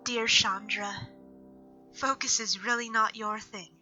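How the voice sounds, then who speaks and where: A woman playing an earnest, gentle elf nature mage with a measured, serious delivery in a fantasy audio drama. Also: a little high, steady tone, muffled recording, faint music behind, heavily acted. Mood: reassuring.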